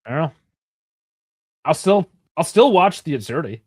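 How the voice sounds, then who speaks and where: A man's voice: a little high, expressive, moderate pace, a little mellow, husky, dry recording, clean studio sound. A Canadian man in his thirties, chatting loosely about soccer with frequent laughs and swearing. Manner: rambling, swears, laughs often. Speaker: co-host of a soccer watchalong. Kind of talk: casual chat.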